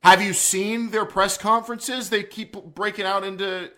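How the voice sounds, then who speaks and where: A man's voice: high voice, steady tone, normal speed, bright, forceful tone. A man hosting a casual wrestling talk podcast, joking and rambling with frequent restarts. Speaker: podcast host.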